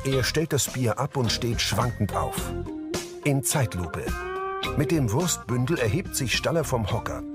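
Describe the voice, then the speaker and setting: A man's voice: medium pitch, expressive, measured pace, loud music behind the voice. A man giving concise, neutral scripted narration of on-screen action as an audio describer.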